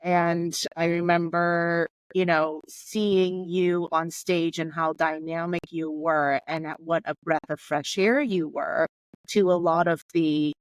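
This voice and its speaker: A woman's voice: fairly low pitch, steady tone, takes it slowly, dry recording. A woman in her forties, originally from Hong Kong, speaking as a podcast guest and telling her life story in a candid, reflective way. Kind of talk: conversational podcast interview.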